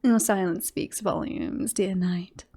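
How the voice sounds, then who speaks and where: A woman playing a smug, romantic royal in an ASMR roleplay, teasing and sultry with breathy, whispery phrases. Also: lowish voice, fairly even intonation, slow pace, dark tone. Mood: teasing, flirtatious.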